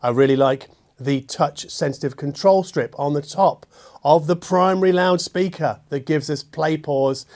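A middle-aged man presenting a product review conversationally, with measured, emphatic phrasing and casual asides. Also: fairly high pitch, a little mellow. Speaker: host, audio gear reviewer. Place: furnished living room with acoustic panels, indoors.

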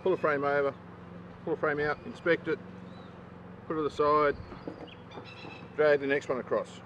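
A man's voice: fairly high pitch, normal speed. A man, a hobby beekeeper talking casually with frequent ums as he works his hives in a backyard. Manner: relaxed, chatty, frequent ums while working. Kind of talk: casual outdoor how-to vlog. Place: backyard apiary outdoors. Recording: slightly dull outdoor camera audio with faint noise. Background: neighbour's lawnmower.

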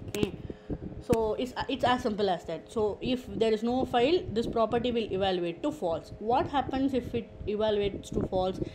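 A woman presenting an informal software tutorial, explaining step by step and repeating her points. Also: mid-range voice, noisy background.

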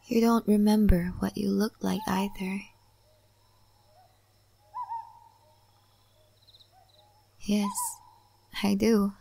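A woman playing a calm, wise, mysterious guide of a spirit forest in an ASMR roleplay, speaking gently and softly, often in a breathy whisper, with pauses. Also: mid-range voice, slight background noise. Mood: gentle, musing. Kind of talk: soft-spoken, half-whispered ASMR roleplay.

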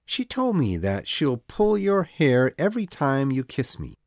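A woman's voice: extremely low pitch, very animated, unhurried, a little mellow, no echo, studio quality, voice pushed down, exaggerated voice. A woman voicing a boy named Peter, a forever-young leader of lost children, in a storybook reading. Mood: earnest.

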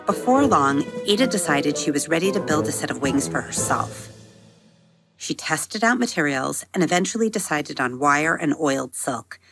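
Woman with a low voice reading a kids' bedtime story, gentle and expressive, music playing underneath. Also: moderate pace. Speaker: podcast host and storyteller. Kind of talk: storybook narration for children.

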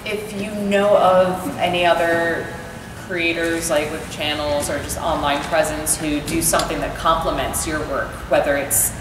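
A woman's voice: sits low, fairly even intonation, unhurried, noisy background. A woman on a convention panel talking casually and loosely, with many fillers. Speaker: panelist, artist. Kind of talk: panel discussion. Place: large convention hall. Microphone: handheld mic, far camera. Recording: echoey hall, distant mic.

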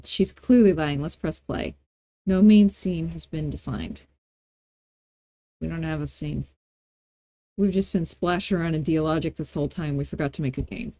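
Give this a woman narrating a casual screencast tutorial. Her voice is relaxed and warm, and the sound is dry and close. She talks informally, dropping playful asides as she explains each step. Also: deep voice, dry recording. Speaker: tutorial host.

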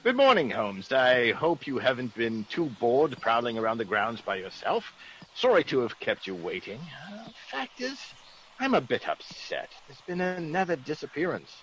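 An actor playing a fussy, sceptical British gentleman in a vintage radio drama, genteel, blustery and exclamatory. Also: mid-range voice, sing-song, normal speed. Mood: upset. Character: fussy, excitable, sceptical English gentleman of the manor. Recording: noisy vintage radio recording.

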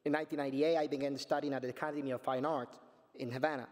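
A Cuban man in his forties with a Spanish accent, reading a prepared speech from a podium in an earnest, measured way and restarting words now and then. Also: mid-range voice, fairly even intonation, a little mellow. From Cuba. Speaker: panel speaker, artist. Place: conference stage at a podium. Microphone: podium microphone. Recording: long hall reverb.

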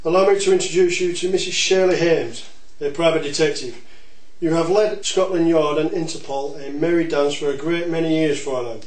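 A man playing a courteous, dogged detective inspector in an amateur audio drama, speaking in a measured, formal way. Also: on the high side, fairly even intonation, roomy sound, natural voice, low-quality recording. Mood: composed.

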